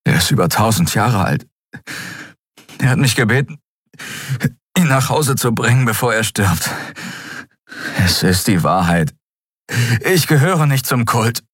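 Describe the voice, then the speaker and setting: A man voicing a captive man who chokes and pleads in a thin, breathless, halting voice for an audiobook story. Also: medium pitch, lively intonation, a bit bright, slightly rough, dry recording, clean studio sound, voice pushed up. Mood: exhausted, earnest. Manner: halting, broken phrases. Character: choking captive man, gasping and pleading desperately.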